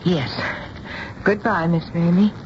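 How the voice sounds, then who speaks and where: An actress playing a gentle, anxious middle-aged mother in a radio drama, measured and a little hesitant. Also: lowish voice, steady tone, noisy background. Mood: hesitant, polite. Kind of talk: radio drama acting with first-person narration. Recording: dull radio recording with music behind.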